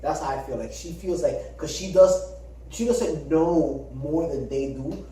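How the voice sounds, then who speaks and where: A man co-hosting a podcast in casual chat, talking in an animated way, swearing and acting out quotes. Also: fairly high pitch, unhurried. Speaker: podcast co-host. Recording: echoey room, far from the mic.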